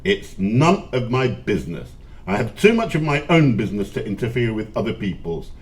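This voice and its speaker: A man's voice: fairly low pitch, big pitch swings, normal speed. A man acting a miserly, grumpy old businessman in an audio play, curt, scornful and dismissive.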